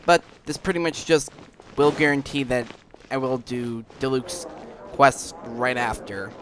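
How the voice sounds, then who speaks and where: A man's voice: mid-range voice, fairly even intonation, unhurried, background noise. A man giving casual gameplay commentary, thinking aloud as he plays. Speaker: gaming streamer. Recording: game music behind the voice.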